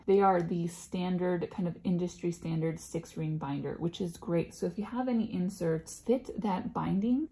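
A woman talking casually and enthusiastically over a screen recording, her voice a little raspy after a day of work. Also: fairly low pitch, mellow. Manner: chatty, enthusiastic, gushing. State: slightly raspy voice. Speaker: planner vlogger. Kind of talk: casual screen-share walkthrough. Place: at home.

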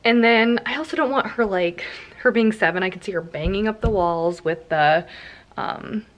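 A woman's voice: mid-range voice, moderate pace, lo-fi sound. Chatty American woman vlogging. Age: 30s. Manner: chatty, explanatory, informal. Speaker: home and cleaning vlogger. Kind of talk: casual chat to viewers. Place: indoors in a house.

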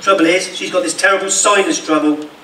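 Give me a man playing a high-voiced, henpecked baron. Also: fairly even intonation, fairly quick, lightly acted. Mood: rueful. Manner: rueful, joke-telling. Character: henpecked, money-grubbing pantomime baron, middle-aged husband. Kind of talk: pantomime monologue to the audience. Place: theatre stage in a village hall. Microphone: far camera. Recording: echoey noisy hall from far off.

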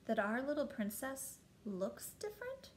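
Indulgent storybook king acted by a woman reader, high voice, eager, exclamatory, drawn-out words, faint noise. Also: sing-song. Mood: curious.

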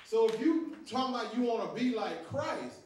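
A man's voice: very high voice, lively intonation, normal speed. A young man, preaching a story-driven sermon at a church pulpit, with call-and-response. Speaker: guest preacher. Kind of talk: sermon with sung opening. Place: large church sanctuary. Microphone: stand mic at pulpit, far camera. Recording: echoey sanctuary from far off. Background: organ music.

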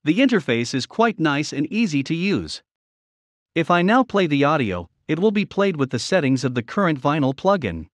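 A man narrating a step-by-step software tutorial in a plain, measured instructional manner. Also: on the high side, sing-song, no echo.

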